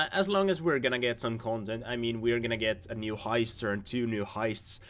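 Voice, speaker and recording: A young man, a little hoarse from losing his voice, giving casual rambling commentary over game footage, repeating himself and restarting words. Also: medium pitch, a bit bright. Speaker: gaming video host.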